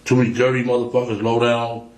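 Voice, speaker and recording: Male interrogation suspect, medium pitch, slangy and profane, insistent, recorded in an echoey room. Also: level tone, quick pace. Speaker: suspect being questioned by police. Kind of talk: police interrogation, candid street talk. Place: small indoor police interview room.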